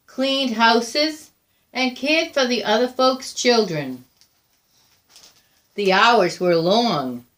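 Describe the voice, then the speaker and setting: A woman reading a children's picture book aloud with deliberate, expressive phrasing. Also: on the high side. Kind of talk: read-aloud children's storytelling.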